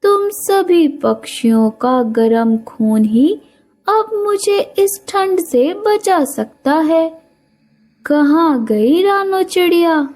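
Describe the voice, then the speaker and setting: A woman voicing a menacing male predator bird in an animated children's story, falsely coaxing and gloating, with music behind. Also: very high voice, very slow, exaggerated voice. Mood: menacing, taunting.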